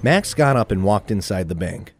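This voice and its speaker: A man's voice: lowish voice, lively intonation, moderate pace, vocal fry, slight background noise. A man narrating a scripted adventure story in a theatrical storytelling manner.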